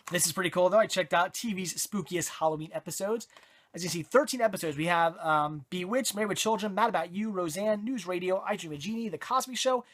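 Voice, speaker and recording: A horror movie reviewer talks through a casual video review while losing their voice. They ramble and double back with plenty of ums, restarts and corrections. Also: mid-range voice. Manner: rambling, self-correcting, many fillers. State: hoarse, voice giving out.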